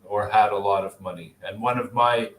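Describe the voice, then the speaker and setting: A Canadian man answering interview questions over a video call, thoughtful and filling pauses with ums and restarts. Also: lowish voice, fairly even intonation, echoey room, slight background noise, poor audio. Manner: thoughtful, with frequent fillers and restarts. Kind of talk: answering mock speaking test questions.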